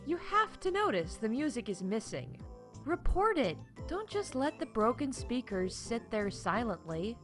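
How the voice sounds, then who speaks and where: A woman's voice: fairly high pitch, sing-song, measured pace, faint music behind. A woman playing a chatty, wry theme park staff announcer in a scripted comedy podcast, emphatic and full of conversational asides. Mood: scolding, emphatic.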